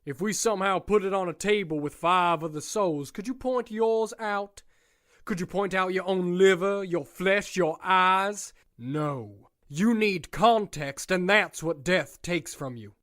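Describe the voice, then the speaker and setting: Sadistic male villain for audio drama, acted by a man: lighter, higher voice, dry close sound, theatrical mockery, ornate phrasing. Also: measured pace, fairly bright tone, dry recording. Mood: menacing, probing. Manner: mocking, grandiose, wordy, theatrical. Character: sadistic, taunting male captor playing mind games.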